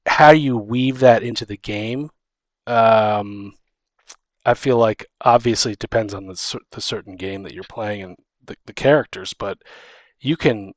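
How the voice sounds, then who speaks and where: A man's voice: fairly low pitch, unhurried, no room sound. Rambling male podcast co-host, dry close sound. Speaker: podcast co-host and game master. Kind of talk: casual podcast chat about tabletop gaming.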